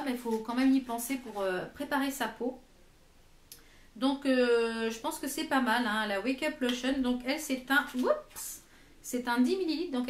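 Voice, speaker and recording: A woman in her late thirties giving a casual product review in a chatty, hesitant manner, pausing to read labels aloud. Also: a little high, a little hiss or hum. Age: late 30s. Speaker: beauty vlogger presenting a product box. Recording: echoey room.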